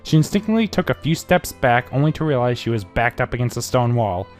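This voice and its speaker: Casting: amateur fan fiction narrator, medium pitch, dramatic and highly varied intonation, music bed. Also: very animated, quick pace, a little mellow.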